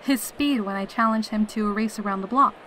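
A woman's voice: medium pitch, fairly quick, fairly bright tone, slight background noise, natural voice. A woman voicing a carefree, teasing female pegasus soldier in a fan audio drama. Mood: casual, blunt. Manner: breezy, teasing, cheerful.

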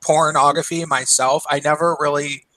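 A man's voice: on the high side, fairly even intonation, moderate pace, bright, forceful tone. A man in his thirties hosting a podcast, chatting casually and laughing often over a call. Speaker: podcast host. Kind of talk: casual chat.

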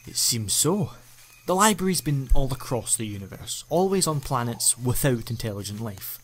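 A man's voice: medium pitch, big pitch swings, normal speed, slight background noise, lightly acted. An actor playing an eccentric, ancient male alien time traveller, enthusiastic and playfully self-mocking, in a fan audio drama. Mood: explaining.

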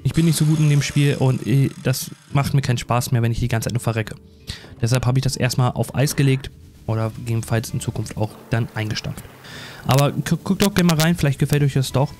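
A man's voice: medium pitch, a little fast, darkish tone, soft background music. A man doing casual, rambling let's play commentary in a self-deprecating, chatty way. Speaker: gaming YouTuber.

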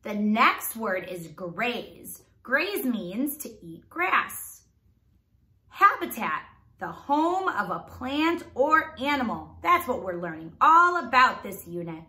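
Female primary teacher, read-aloud for little kids; bright, very expressive delivery, roomy echo. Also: a little high, slow pace. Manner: animated, enunciated, prompting listeners with questions. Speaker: teacher reading a children's book aloud. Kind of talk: read-aloud lesson for young children.

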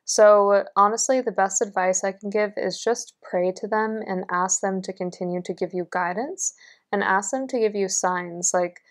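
A woman's voice: mid-range voice, moderate pace. A young woman giving a tarot reading in an earnest, encouraging way, her voice a little worn. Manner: earnest, encouraging, lots of fillers. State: losing her voice. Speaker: tarot reader. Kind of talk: pick-a-card tarot reading. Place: indoors at a table, only hands seen.

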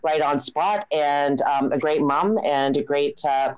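Woman giving a brief, halting endorsement. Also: sits low, no echo, low-quality recording. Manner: warm praise with hesitant fillers. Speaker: president of a political women's group. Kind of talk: brief endorsement on a video call. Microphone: video call webcam.

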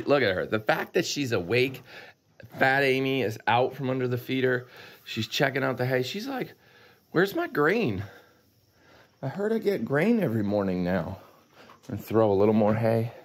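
A man's voice: mid-range voice, slight background noise. A man in his forties vlogging casually while doing morning chores in a goat barn, rambling to his viewers, filmed on a handheld camera at arm's length. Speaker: farm vlogger. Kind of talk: casual vlog chat. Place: goat barn and farmyard outdoors.

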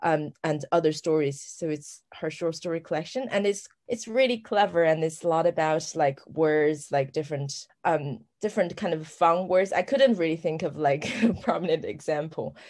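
A woman's voice: sits low, normal speed, hardly any pauses, darkish tone. A female novelist guest talking about her reading, hesitant and searching for words. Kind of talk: casual podcast interview.

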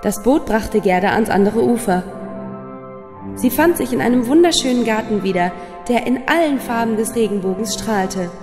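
A woman's voice: on the high side, animated, normal speed. A woman storyteller reading a scripted fairy tale for children. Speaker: storyteller, narrator. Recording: long reverb, music underneath. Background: music.

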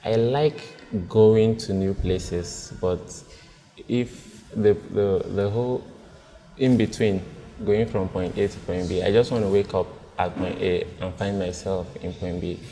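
A Nigerian man in his thirties on a blind date, talking in a hesitant, halting way with many restarts. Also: low voice, fairly even intonation, mellow, long reverb tail. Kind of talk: casual conversation. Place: indoor restaurant with large windows. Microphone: lapel mic.

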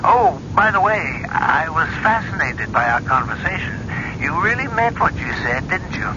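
Wry, measured old cardinal, muffled sound. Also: male voice, very animated. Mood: genial, needling. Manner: measured, rhetorical, gently needling. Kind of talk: radio drama acting. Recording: muffled vintage radio broadcast, noisy rumble.

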